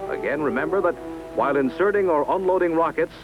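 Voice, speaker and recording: A man's voice: fairly high pitch, fast. Man, scripted military training narration, formal, instructional, vintage muffled soundtrack, music behind. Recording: dull vintage film soundtrack.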